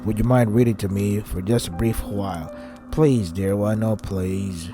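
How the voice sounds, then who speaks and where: A man voicing a sweet, pleading young princess in visual novel dialogue, soft-spoken and coaxing. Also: lowish voice, a little slow, over music, voice pushed down, natural voice.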